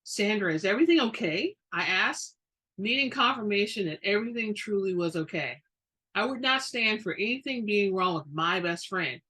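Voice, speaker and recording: A woman reading a book aloud with dramatic flair, expressive and emphatic in her delivery. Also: medium pitch, normal speed, low-quality recording. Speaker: book reader.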